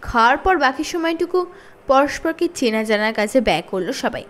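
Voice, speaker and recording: High-pitched, lively woman storyteller. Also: normal speed. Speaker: storyteller reading aloud. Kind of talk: expressive children's story narration.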